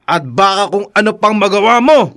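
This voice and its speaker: An actor playing a cautious, scolding friend in a Filipino radio drama, with exclamatory, admonishing delivery. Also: male voice, sits high, expressive, normal speed, a bit bright. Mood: alarmed, scolding. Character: cautious, scolding friend who owns a gun.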